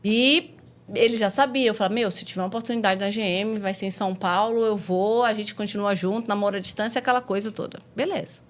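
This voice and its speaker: A woman's voice: medium pitch, steady tone, fast talker. A woman in her forties from Salvador with a Bahian accent, frankly telling the story of her career in a casual conversation, with slang and the odd swear word. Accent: Bahian Portuguese. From Salvador, Brazil. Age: 40s. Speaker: guest.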